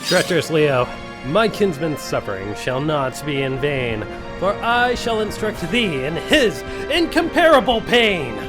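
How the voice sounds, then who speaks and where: A man's voice: fairly high pitch, big pitch swings, measured pace, over music. An actor playing a cocky young thief who performs as a dashing, theatrical swordsman in a game fandub. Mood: bold, defiant. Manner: bold, theatrical. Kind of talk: fandub of fantasy game, mock-Shakespearean acting.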